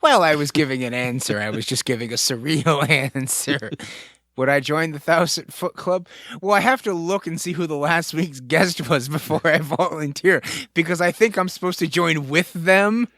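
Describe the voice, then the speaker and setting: A man's voice: a little high, normal speed, pushed and bright, no echo, studio quality. A man trading wry, joking banter on a casual comedy podcast. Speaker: podcast co-host or guest.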